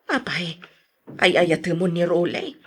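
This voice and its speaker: Worried mom in a radio drama, husky voice, swoopy delivery. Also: female voice, fairly low pitch, expressive, slow, full character voice. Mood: concerned, probing. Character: concerned mother questioning her daughter.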